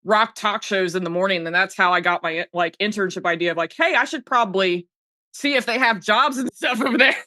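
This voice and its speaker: Female podcast guest from South Jersey, bright forward voice, close dry sound, informal slangy chat. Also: mid-range voice, fairly quick, dry recording. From South Jersey, United States. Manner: informal, peppered with "like" and "dude". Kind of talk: casual chat.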